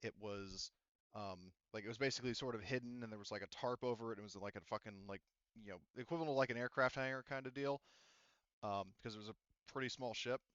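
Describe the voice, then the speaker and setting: A man's voice: mid-range voice, fast, a bit bright, clear, resonant tone, dry recording, dull audio. A man acting as game master, explaining rulings and story in a rambling way with lots of fillers. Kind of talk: narrating a tabletop game.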